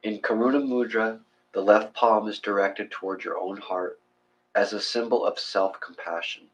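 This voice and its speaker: A man with a fairly low voice guides a meditation. He reads in a gentle, measured manner, giving calm instruction as he goes. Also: low-quality recording. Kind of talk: guided meditation, reading aloud from a book.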